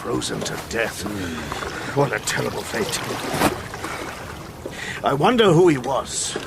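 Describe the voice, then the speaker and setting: An actor playing a determined, decent officer commanding a rescue boat in a radio drama, urgent and authoritative. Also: male voice, mid-range voice, very animated, slow, creaky, exaggerated voice. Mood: sombre. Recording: noisy boat and water ambience.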